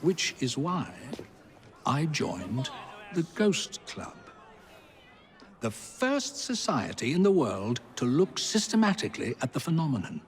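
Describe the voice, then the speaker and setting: An actor playing a genteel Victorian gentleman in a video game, speaking in florid phrases with dramatic pauses. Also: on the high side, wildly swooping pitch. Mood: grand, persuasive. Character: genteel, theatrical Victorian gentleman, a self-declared skeptical ghost investigator. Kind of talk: video game cutscene acting.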